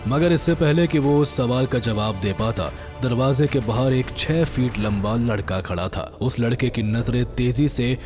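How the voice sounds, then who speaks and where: A man's voice: medium pitch, a little slow, few pauses, over music. A man narrating a scripted story in a dramatic storytelling style.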